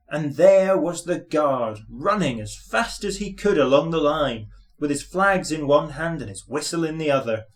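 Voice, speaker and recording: A man reading a scripted children's story as narrator. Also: mid-range voice.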